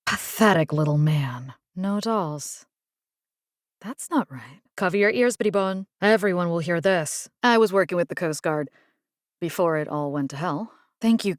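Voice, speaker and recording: Actress doing a tough but caring young woman in a video game, lowish voice, casual n wry, really dry studio sound. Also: no room sound, lightly acted. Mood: earnest, resolute. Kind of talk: video game character dialogue lines.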